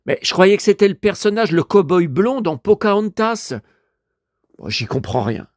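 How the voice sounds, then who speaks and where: Man, head cold, stuffy, rambling, exasperated complaining, animated pitch, dry. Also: normal speed, no echo. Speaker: vlogger and collector. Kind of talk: casual monologue vlog.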